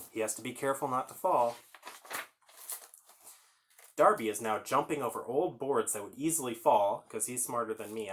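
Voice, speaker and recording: A man's voice: medium pitch, a bit bright, slight background noise. A man hosting a children's story time, reading aloud and chatting informally, explaining things and putting questions to the listeners.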